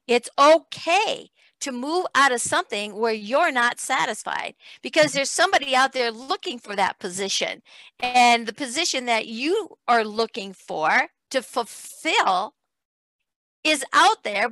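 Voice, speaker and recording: Female talk show host, bright forceful voice, close dry sound, emphatic motivational delivery in conversation. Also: high-pitched, expressive, a little slow, dry recording, poor audio. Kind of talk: conversational interview hosting.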